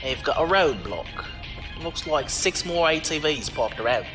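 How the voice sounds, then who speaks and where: A roguish driver-for-hire, acted by a man, breezy and unflappable. His pitch swings freely as he teases in folksy slang. Music plays behind him in this audio drama. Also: expressive, measured pace. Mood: cool, alert. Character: cocky roguish male driver-mercenary, wily and unflappable.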